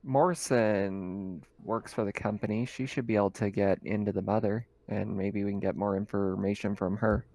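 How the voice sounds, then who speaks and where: A man's voice: fairly low pitch, steady tone, normal speed, vocal fry, few pauses, warm tone, no room sound. A man chatting casually as a player in a tabletop roleplaying game.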